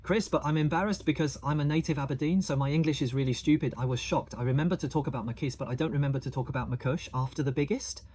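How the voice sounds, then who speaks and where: Mellow-voiced man explaining diamond prices. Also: fairly high pitch, fast, hardly any pauses, a little hiss or hum. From Hong Kong. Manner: chatty, asks the viewer questions. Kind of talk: explaining price charts to camera.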